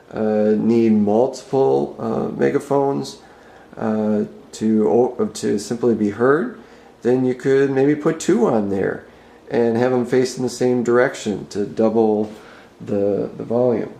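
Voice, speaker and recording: A man giving an unscripted, explanatory video tour in a halting, hesitant, plain-spoken way. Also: mid-range voice, creaky, mellow. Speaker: amateur product demonstrator. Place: indoor room with bare walls. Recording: roomy indoor echo.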